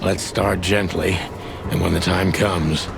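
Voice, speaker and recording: Gravelly, low-voiced noir rooster detective. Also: male voice, level tone, over music, exaggerated voice. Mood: calculating. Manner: measured, probing, wry hardboiled delivery. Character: world-weary male rooster private detective in film noir. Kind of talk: film-noir video game acting.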